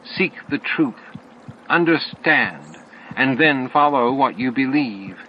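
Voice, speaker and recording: A man voicing cryptic alien entities in an audiobook, solemn and insistent, with deliberate, stilted phrasing and pauses between words. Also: mid-range voice, expressive, muffled recording, slight background noise, voice pushed up. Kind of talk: audiobook character dialogue.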